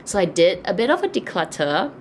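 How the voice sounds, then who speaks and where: A woman's voice: lowish voice, big pitch swings, a little fast, a little hiss or hum. A woman from Malaysia, chatting casually as she answers viewer questions, laughing often between thoughts. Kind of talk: casual Q&A chat.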